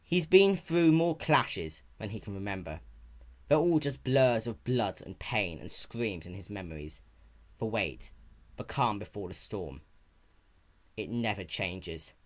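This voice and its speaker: A man performing a grave, ominous storyteller, narrating a dark fantasy storybook in a slow, hushed, portentous manner. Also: on the low side, expressive, voice pushed down.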